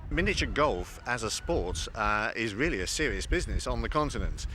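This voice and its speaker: A man's voice: medium pitch, expressive, brisk, pushed and bright. Male interviewee in outdoor noise. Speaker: interviewee at a minigolf event. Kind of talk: unscripted interview.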